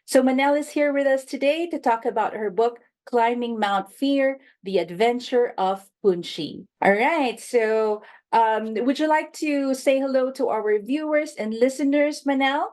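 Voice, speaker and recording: A woman's voice: high voice, a little slow, hardly any pauses, darkish tone. A woman hosting a book interview show, introducing her guest and asking casual, friendly questions with a few "um" and "like" fillers. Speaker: host.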